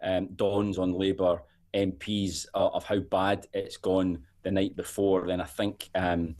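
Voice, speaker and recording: A middle-aged Scottish man, a political editor, giving measured commentary and often repeating words as he thinks. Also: sits low, darkish tone. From Scotland. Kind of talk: political commentary on talk radio.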